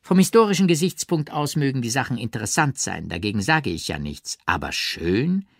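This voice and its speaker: A man's voice: mid-range voice, big pitch swings, a little fast, creaky, dry recording, studio quality, pitched above the performer's own voice. A man voicing a cool, ironic, haughty aristocratic princess with affected, mannered emphasis in an audiobook. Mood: haughty, dismissive. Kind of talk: audiobook character reading.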